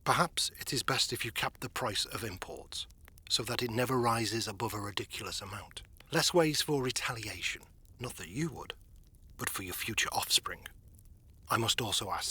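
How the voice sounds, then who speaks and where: A man playing a shrewd royal council dignitary in a fantasy audio drama, husky and breathy, with formal, urbane phrasing. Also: on the low side, moderate pace, pushed and bright, heavily acted. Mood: wry, reasoning. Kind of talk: fantasy audio roleplay acting.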